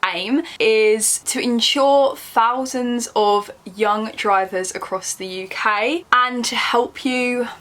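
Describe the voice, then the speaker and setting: She is a young British woman with a fairly high voice. It has a slight croak from a cold she is getting over. She talks in a casual, chatty way, like a vlogger sitting down to address the viewers. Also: takes it slowly, few pauses, fairly bright tone. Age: young adult. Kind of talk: casual chatty sit-down talk to camera.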